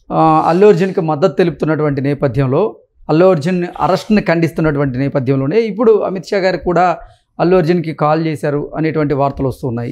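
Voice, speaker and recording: A male interviewer speaks in a formal news manner. His delivery is very quick, in a slightly high but soft-edged, mellow voice. His phrasing is long and wordy. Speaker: interviewer, host.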